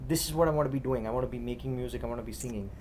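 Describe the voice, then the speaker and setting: A woman hosts a talk show with a very low, dark, mellow voice and a brisk pace. She leads her guest with eager questions padded with fillers. A faint room hum sits under her. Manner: eager, leading questions, many "you know" fillers. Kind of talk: interviewing a guest.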